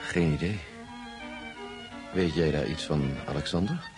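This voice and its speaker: A man's voice: very deep voice, a little slow, music in the background. An actor playing a sober man who gives a grim report in a radio drama. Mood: somber, matter-of-fact. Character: sober male acquaintance reporting a grim outcome.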